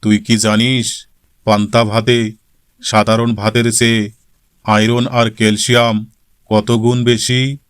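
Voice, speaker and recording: Man playing folksy cartoon grandfather, lowish smooth voice, slow, teasing, proud, instructive. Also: flat delivery, no room sound, studio quality. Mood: proud, explaining. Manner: instructive, affectionately scolding. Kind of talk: comic cartoon dialogue.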